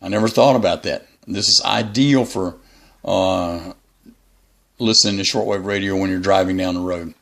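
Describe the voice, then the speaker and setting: A man giving a casual, unscripted hobby review as the channel's host, in a halting, rambling way. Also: low voice, gravelly, a bit bright.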